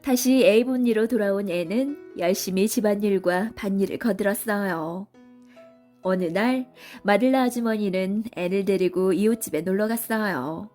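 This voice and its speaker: A woman's voice: medium pitch, moderate pace, a little mellow, soft background music. A woman reading scripted narration for a children's story as a storybook narrator.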